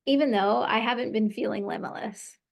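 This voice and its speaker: A woman coaching a client through tapping, speaking in an encouraging tone and calling out phrases for her to say back. Her voice carries a noticeable gravelly fry. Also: a little high, a little fast. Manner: encouraging, leads phrases for repeating. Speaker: tapping practitioner. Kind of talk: guided tapping session.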